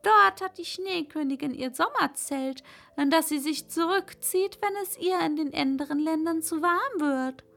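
A woman's voice: high voice, moderate pace, creaky, fairly bright tone, pitched above the performer's own voice. A woman voicing a homesick reindeer in a read-aloud fairy tale, speaking dreamily of the far north. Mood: longing. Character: homesick reindeer, gentle and longing.

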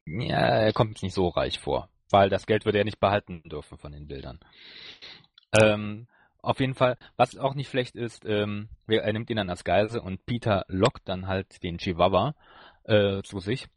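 A man's voice: lowish voice, normal speed, dry recording, clean studio sound. A man co-hosting a podcast, explaining and reviewing in casual chat. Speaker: podcast co-host.

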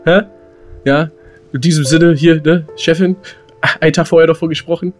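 A man's voice: fairly high pitch, fairly even intonation, moderate pace, darkish tone, soft background music. A man co-hosting a podcast in casual, colloquial banter, silly and given to mock grumbling. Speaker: podcast co-host.

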